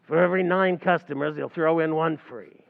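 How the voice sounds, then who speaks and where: A man's voice: fairly high pitch, steady tone, normal speed, vocal fry, narrow, tinny audio. A man playing a wisecracking New barman. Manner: wisecracking, mangles grammar. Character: fast-talking scheming male tavern manager. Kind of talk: 1940s radio acting.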